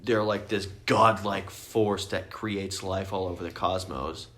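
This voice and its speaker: A man's voice: low voice, fairly even intonation, unhurried, pushed and bright. A man co-hosting a podcast, chatting informally and slangily with friends. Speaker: podcast co-host. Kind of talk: casual movie discussion among friends.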